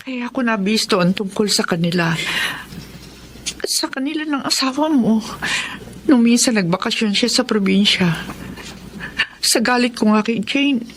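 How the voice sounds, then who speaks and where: A woman's voice: on the high side, animated, normal speed, pauses often, slight background noise, close to an everyday voice. A woman playing a meek, apologetic mother of a grown daughter in a Filipino radio drama, hesitant and imploring, with a Filipino accent. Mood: apologetic, confessing.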